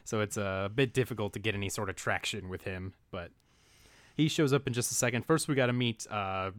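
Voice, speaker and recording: A man's voice: fairly low pitch, brisk, smooth, dry recording. A young man co-hosting a casual movie recap podcast, rambling with many fillers and chuckling as he reads out his notes. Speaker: podcast co-host.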